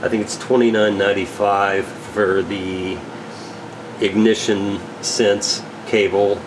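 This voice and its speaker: A man giving a casual, unscripted product rundown as a gear reseller, halting and technical in a matter-of-fact way. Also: fairly low pitch, little pitch movement, noisy background. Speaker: radio equipment reseller presenting gear.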